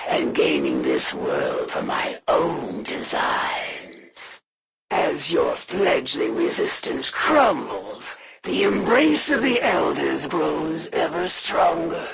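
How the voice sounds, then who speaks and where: Casting: proud alien warrior villainess for a video game; slow, measured, imperious delivery, gravelly edge, cold menace. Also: female voice, low voice, exaggerated voice. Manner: formal, imperious, menacing, deliberate pauses.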